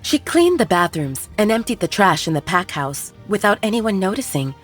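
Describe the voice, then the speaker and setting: A woman reading a fantasy story aloud in an even, measured way. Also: medium pitch, faint music behind. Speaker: audiobook narrator. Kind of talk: scripted storybook narration.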